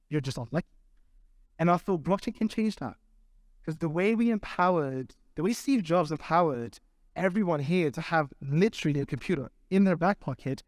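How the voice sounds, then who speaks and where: A man's voice: on the high side, a little mellow, dry recording. A man speaking at a conference, answering audience questions in a loose, conversational way, often checking in with the room. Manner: conversational, keeps checking in with "right?".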